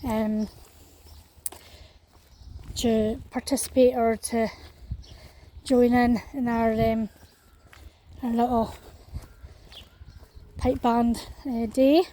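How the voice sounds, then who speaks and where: A Scottish woman vlogging as she walks along an outdoor path in a small town. Her voice is croaky, and her talk is full of fillers and restarts. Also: fairly high pitch, level tone, drawn-out words, long pauses, warm tone. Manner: hesitant, many fillers and restarts. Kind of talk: walking vlog chat.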